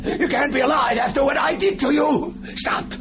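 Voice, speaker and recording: An actor playing a haunted, guilt-ridden man recounting horror in a halting, dramatic radio-play manner. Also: extremely high pitch, raised voice, music in the background. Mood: screaming terror. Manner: halting, dramatic, broken phrases. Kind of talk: old-time radio horror drama acting. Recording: noisy vintage radio drama recording.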